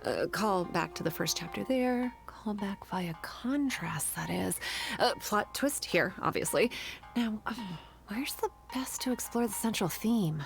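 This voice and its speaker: An actress voicing an absorbed, fussy writer in a video game, muttering to herself in fragments. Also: female voice, medium pitch, expressive, a little slow, creaky, soft background music. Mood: absorbed, musing.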